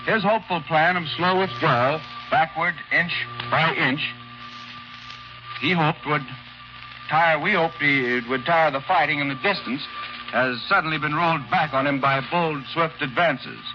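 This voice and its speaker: A man's voice: fairly high pitch, moderate pace, highs cut off. An American man, a war correspondent, delivering a scripted 1940s radio report. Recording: band-limited shortwave radio, faint music bleed.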